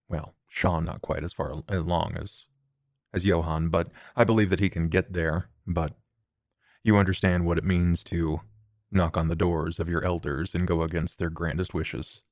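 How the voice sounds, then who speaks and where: A poised vampire, as a man plays him, speaking in formal, measured sentences. The voice is very deep and gravelly, recorded close and dry. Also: steady tone, a little mellow, no echo. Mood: earnest. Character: composed, formal male vampire elder.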